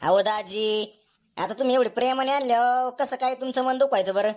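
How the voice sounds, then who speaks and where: A woman's voice: on the high side, fairly quick, darkish tone, close to an everyday voice. A woman voicing a boastful, shameless, freeloading drunkard brother-in-law with a whining manner in a comedy cartoon.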